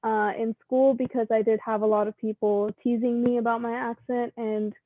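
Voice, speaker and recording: A woman's voice: fairly high pitch, little pitch movement, normal speed, no room sound. A young woman from Mexico, speaking earnestly in a casual interview with lots of "um" and "like". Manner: earnest, many fillers like "um" and "like". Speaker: guest. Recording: dry tinny phone-like sound.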